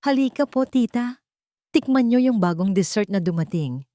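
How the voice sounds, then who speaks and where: Woman acting a high, fake-sweet rich wife. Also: animated, normal speed, dark tone, no room sound, clean studio sound, natural voice. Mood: fake sweetness. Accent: Filipino. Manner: gushing, falsely gracious. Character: polished, fake-sweet, scornful wealthy wife and hostess.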